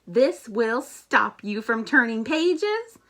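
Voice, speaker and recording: A woman's voice: on the high side, big pitch swings, takes it slowly, fairly bright tone, heavily acted. A woman voicing an anxious, exasperated male furry monster from a children's storybook, theatrical and pleading. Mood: insistent, panicked. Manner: exasperated, emphatic, theatrical delivery.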